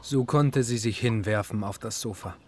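Ein Schauspieler als ernster Erzähler eines psychologischen Hörspiels, der literarisch und gemessen spricht. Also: male voice, on the low side, a little mellow, natural voice. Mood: grave, measured. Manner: measured, literary, serious. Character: grave male narrator of a psychological radio drama. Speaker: narrator. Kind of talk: literary radio drama narration. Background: music.

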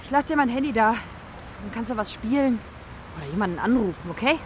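Actress as brusque mother with lively, highish voice. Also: a little fast, background noise, lightly acted. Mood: irritated, sarcastic. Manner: offhand, curt, sarcastic. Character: brusque, irritable, defensive working mother. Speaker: actress in a children's radio play. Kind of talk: naturalistic German radio drama acting.

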